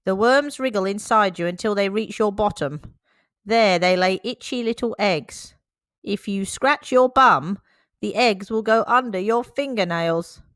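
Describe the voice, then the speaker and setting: A woman reading the lines of a brisk, no-nonsense male dog doctor in a picture book, firm and explanatory. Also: medium pitch, a bit bright, dry recording, studio quality, lightly acted. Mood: lecturing.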